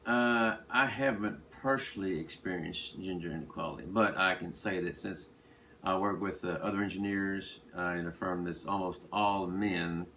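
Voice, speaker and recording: A man from Texas, an engineer answering interview questions with many pauses and fillers. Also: low-pitched, pushed and bright. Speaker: aerospace engineer. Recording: distant mic, faint noise.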